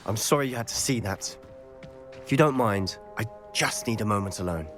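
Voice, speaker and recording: A young actor with a British accent playing a determined, protective teenage schoolboy wizard in a video game, earnest and confiding. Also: male voice, lowish voice, lively intonation, normal speed, fairly bright tone, faint music behind. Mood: subdued.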